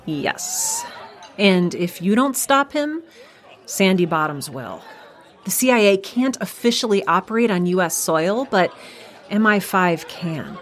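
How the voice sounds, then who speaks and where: An actress playing a cocky, teasing young trainee spy in an audio drama, with a playful manner. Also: female voice, medium pitch, very animated, a little slow, some chatter behind. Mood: urgent.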